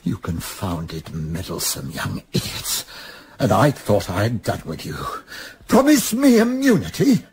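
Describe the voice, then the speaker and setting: A man's voice: on the low side, big pitch swings, a little slow, darkish tone. Disgraced middle-aged father played by a man, blustering yet brittle, wildly swinging intonation, faint noise behind, period radio drama. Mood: indignant, blustering. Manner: blustering, old-fashioned cursing, faltering when cornered. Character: exposed older traitor father, blustering then broken. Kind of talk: radio play acting.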